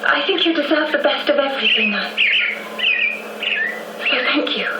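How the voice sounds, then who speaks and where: An actress playing an earnest, adoring young upper-class daughter in a radio drama, breathlessly sincere in manner. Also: female voice, high voice, moderate pace, close to an everyday voice. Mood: grateful, adoring. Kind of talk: 1990s radio drama acting. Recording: very noisy, echoey radio copy, distant.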